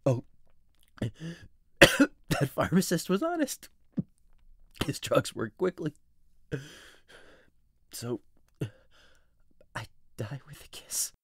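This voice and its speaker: A woman playing a despairing young male lover dying of poison in an audio drama, dramatic and drawn-out. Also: low-pitched, huge pitch swings, husky, no room sound, clean studio sound, heavily acted. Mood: dying, weakening. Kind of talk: audio drama acting of modernized Shakespeare.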